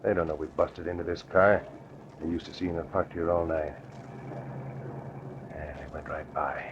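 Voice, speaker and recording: An actor playing a gruff, contemptuous gangster lookout in 1940s radio style, on a muffled, noisy old recording. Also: male voice, sits low, flat delivery, normal speed. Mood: scornful, wary.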